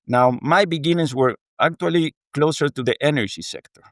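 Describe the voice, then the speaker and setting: A man's voice: a little high, fairly quick, dry recording, clean studio sound. A middle-aged man from Argentina, a native Spanish speaker with an Argentine accent, speaking earnestly and reflectively as an interview guest. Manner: earnest, reflective, frequent "you know". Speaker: guest, water technology executive. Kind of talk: conversational interview.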